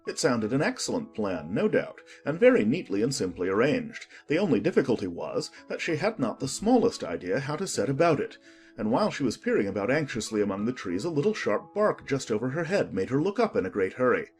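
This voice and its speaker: A man narrating a children's audiobook in an expressive, scripted storytelling style. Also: medium pitch, brisk, soft background music.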